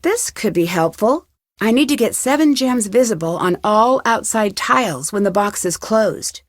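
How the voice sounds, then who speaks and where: A woman voicing a curious, determined young female detective in an adventure game, talking to herself in clear, purposeful scripted lines. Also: medium pitch, expressive, moderate pace, dry recording, clean studio sound, close to an everyday voice. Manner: clear self-talk, inquisitive and purposeful. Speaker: game protagonist.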